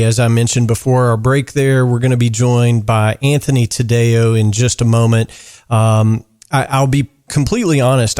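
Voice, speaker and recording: A man hosting a podcast interview in relaxed, easygoing chat, often stumbling over words and filling pauses. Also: mid-range voice, smooth. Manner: easygoing, lots of fillers and restarts. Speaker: podcast host.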